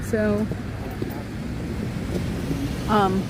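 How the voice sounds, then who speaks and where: A woman's voice: medium pitch, flat delivery, very slow. A woman live-streaming outdoors on a city street, talking in a rambling, chatty way and calling out to passers-by while she is losing her voice. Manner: chatty, digressive, calls out to passers-by. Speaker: livestreamer. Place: outdoors on a street in San Francisco. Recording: outdoor street recording with traffic noise.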